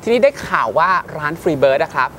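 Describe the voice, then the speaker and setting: A man's voice: on the high side, big pitch swings, moderate pace, fairly bright tone. A man in his thirties hosting a restaurant show, chatting eagerly with a guest in an outdoor garden on a lapel mic. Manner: eager, chatty, many short agreeing replies. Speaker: show host. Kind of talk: lively restaurant-show chat. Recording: outdoor street noise behind.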